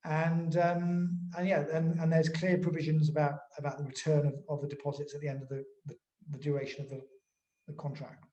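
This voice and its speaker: A man's voice: on the high side, little pitch movement, warm tone, highs cut off. Man in his forties, echoey room. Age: 40s. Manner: halting, repeats words as he explains. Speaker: lawyer, interview guest. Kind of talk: informal explanatory interview talk. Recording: roomy, echoing sound.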